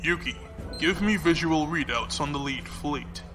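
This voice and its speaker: A man playing a shrewd, composed military fleet commodore in a science fiction audio drama, measured and commanding. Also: fairly high pitch, natural voice. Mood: cool, probing. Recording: music behind the voice.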